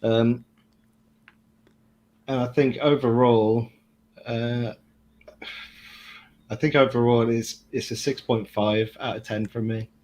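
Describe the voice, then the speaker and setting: A man gives a casual review as a guest in a discussion. He speaks very slowly and hesitantly, in a medium-pitched voice. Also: little pitch movement, darkish tone, low-quality recording. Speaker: book club guest.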